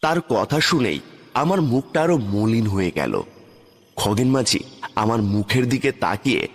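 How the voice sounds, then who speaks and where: Guy narrating a horror story, first person n dramatic, really animated pitch, lots of reverb. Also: measured pace, breathy edge. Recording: long reverb on the voice.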